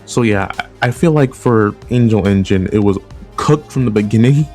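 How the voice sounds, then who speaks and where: A man's voice: on the low side, normal speed, a little mellow. A man giving casual opinion commentary as a video essay host, conversational and often rephrasing his points. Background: background music.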